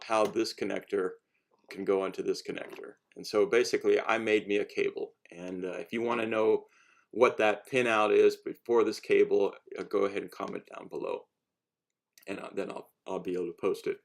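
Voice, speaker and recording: Low, gravelly man giving a casual demo. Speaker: hobbyist cosplay maker giving a demonstration. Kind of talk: casual unscripted how-to explanation.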